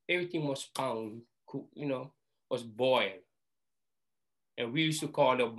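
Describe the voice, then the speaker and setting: An older man from Hawaii, reminiscing as an interview guest in plain, halting, repetitive phrasing. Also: medium pitch, a bit bright. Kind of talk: storytelling reminiscence.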